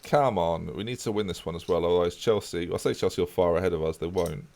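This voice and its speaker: A man's voice: sits low, fast talker, gravelly. A man casually chatting with his live-stream viewers while playing a football management game. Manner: relaxed, chatty, talks to chat while playing.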